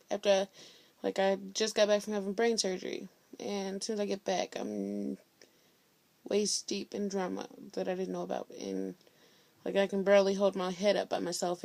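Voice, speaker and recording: A teenage girl from Indiana telling a story in a blunt, sassy, chatty way, loud-voiced and full of slang. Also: medium pitch, gravelly, dry recording. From Indiana, USA. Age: teens. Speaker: vlogger. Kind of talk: casual storytelling vlog.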